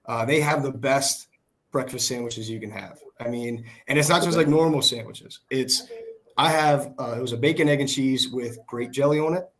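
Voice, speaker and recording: A man in his twenties with an American accent and a hoarse voice, chatting casually as a guest. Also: medium pitch, moderate pace, fairly bright tone, poor audio. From Pennsylvania, United States. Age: 20s. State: hoarse from shouting. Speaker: guest, small business worker. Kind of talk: casual chat. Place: video call.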